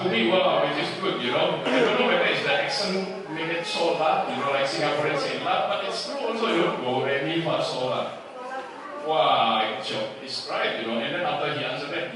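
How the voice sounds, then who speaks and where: A man from Indonesia tells comic stories from his life as a music teacher, speaking in a chatty way. He often restarts his words, and his voice sits a little high. He is recorded from a distance in a very echoey venue, with clear noise in the background. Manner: chatty, restarts words, many "you know" tags. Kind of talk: stand-up comedy storytelling. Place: concert venue with audience. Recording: echoey room from far off, with noise.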